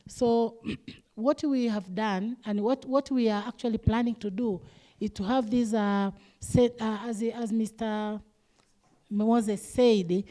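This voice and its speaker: A woman from Tanzania talks on a conference panel. She speaks hesitantly and restarts her phrases often. Her voice is mellow. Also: fairly high pitch, steady tone, takes it slowly. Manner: hesitant, repeats words. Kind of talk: panel discussion remarks.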